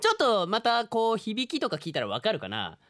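A man's voice: high-pitched, huge pitch swings, a little fast, a bit bright, clean studio sound. A man co-hosting a radio show in casual banter, chuckly and agreeable as he echoes his partner. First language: Japanese.

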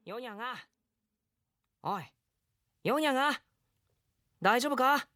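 A woman playing a carefree, friendly boy traveller with casual, rough boyish speech in a comic radio drama. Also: a little high, expressive, slow pace, pushed and bright, no echo, studio quality, full character voice. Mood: concerned.

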